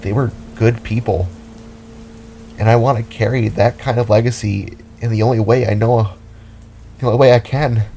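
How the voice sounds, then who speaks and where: A man's voice: mid-range voice, steady tone, normal speed, pauses often, warm tone, slight background noise, natural voice. A man voicing a determined, caring young woman, a guitarist and fighter, in an earnest, rambling, pleading way for an audio drama. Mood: earnest, pained.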